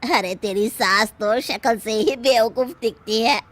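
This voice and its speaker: A woman voicing a crafty, boastful middle-aged mother in an animated comic story, bossy and theatrical. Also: on the high side. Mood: mocking.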